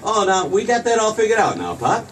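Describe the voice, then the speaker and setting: A restless, eager young man played by an actor in an old-time radio play, with a highish voice that rises and falls freely. He stammers over his words and sounds set back from the mic. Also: very animated, a little fast. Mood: eager, affectionate. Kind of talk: 1940s radio drama acting. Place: theater stage. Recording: distant stage pickup with faint noise.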